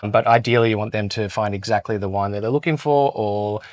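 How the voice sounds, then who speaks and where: A man who co-founded an agency, explaining e-commerce in a relaxed chat with frequent restarts. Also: lowish voice, brisk, dry recording. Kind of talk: casual podcast chat.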